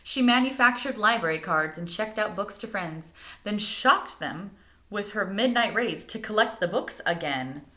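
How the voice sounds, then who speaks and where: A woman reading a picture book aloud like a teacher, expressively, pausing to explain things and put questions to young listeners. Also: medium pitch, lively intonation, sharp tone. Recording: roomy, echoing indoor sound.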